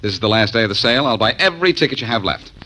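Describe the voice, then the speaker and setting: A man's voice: lowish voice, lively intonation, racing, pushed and bright, slight background noise, low-quality recording. A man playing a confident, glib, wry amateur detective in 1940s radio acting style. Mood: breezy, needling. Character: wisecracking confident male amateur sleuth. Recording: vintage 1940s radio recording.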